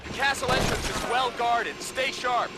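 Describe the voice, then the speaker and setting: An actor playing an alert military field commander in a video game, relaying clipped, urgent orders and warnings. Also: male voice, extremely high pitch, normal speed. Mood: alert, businesslike. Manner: clipped, urgent radio-style briefing. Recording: loud vehicle noise throughout.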